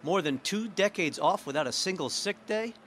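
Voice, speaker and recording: A man's voice: sits high, expressive, moderate pace, background noise. A man reading scripted narration for a news feature as a reporter.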